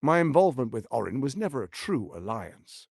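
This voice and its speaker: An actor voicing a scheming, smug tyrant lord in a video game, speaking in a pompous, silky, menacing and theatrically emphatic way with a gravelly edge. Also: male voice, mid-range voice, very animated, brisk, a little mellow, dry recording, clean studio sound, heavily acted. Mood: calculating. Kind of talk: dramatic video game voice acting.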